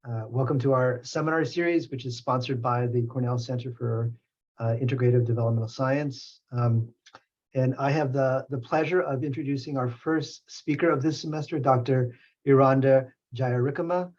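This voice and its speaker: Halting male seminar host, muffled audio. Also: mid-range voice, fairly even intonation, a little mellow. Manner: halting, many ums and repeated words. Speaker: seminar series host introducing the speaker. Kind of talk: formal introduction. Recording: slightly dull, muffled audio.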